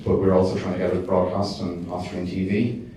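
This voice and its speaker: A low-voiced man fields audience questions after a screening, speaking in a dark, flat tone. He hesitates often and restarts his sentences. His voice comes from a distance in a very echoey hall with faint noise behind it. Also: fairly quick. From Ireland. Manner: hesitant, many fillers and restarts. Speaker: documentary director. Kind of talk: festival Q&A answers.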